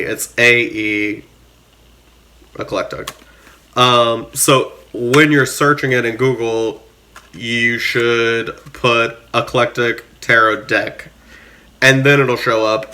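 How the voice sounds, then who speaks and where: A man with a mid-pitched voice talks at a slow pace, as if hosting a casual review. He is unscripted and halting, often pausing partway through a thought. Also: pushed and bright. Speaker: host of a tarot deck review channel.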